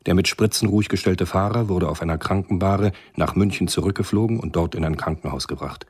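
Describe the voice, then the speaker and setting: A male radio-play narrator with a low, extremely husky voice. He reads a scripted thriller in a measured, matter-of-fact tone, every phrase rough-edged.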